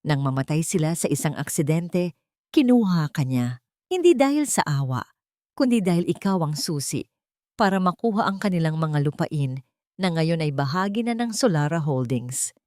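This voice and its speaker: A woman voicing a serious, worried male attorney who speaks gravely in an audio story. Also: deep voice, big pitch swings, moderate pace, a little mellow, no echo, studio quality. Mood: grave, explaining.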